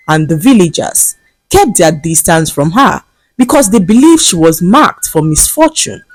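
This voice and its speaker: Expressive, lower-voiced woman narrating a folktale. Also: no room sound, low-quality recording. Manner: dramatic, expressive storytelling. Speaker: storyteller, narrator. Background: faint background music.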